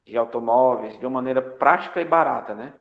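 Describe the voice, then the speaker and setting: A man's voice: medium pitch, fast, creaky. A male computer architecture lecturer, teaching an online class in a chatty style, full of fillers and tag questions. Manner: jokey asides. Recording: echoey, dull lo-fi lecture audio.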